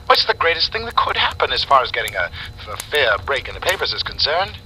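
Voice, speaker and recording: An actor in 1940s radio style playing a brisk, slick newspaper syndicate man with a clipped, persuasive salesman's delivery. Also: medium pitch, very animated, sharp tone, low-quality recording. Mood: eager, coaxing. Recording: vintage radio broadcast, faint noise.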